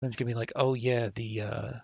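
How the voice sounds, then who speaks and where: A man's voice: mid-range voice, level tone, quick pace, vocal fry, muffled recording, a little hiss or hum. A man live-streaming a puzzle game, muttering and swearing in frustration as he guesses passwords. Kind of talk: live-stream commentary while gaming.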